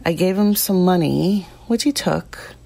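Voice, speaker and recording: Low, mellow, subdued small-town woman. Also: gravelly. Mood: troubled, halting. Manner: halting, subdued, confessional. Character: guilt-ridden, compassionate, plain-spoken small-town woman recounting events. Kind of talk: first-person audio drama testimony.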